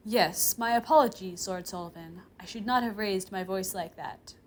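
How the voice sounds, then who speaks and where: A woman's voice: mid-range voice, moderate pace, a little hiss or hum, close to an everyday voice. A woman voicing a proud, stern, easily offended male demon professor with a formal, indignant manner in a story. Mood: reluctant, humiliated.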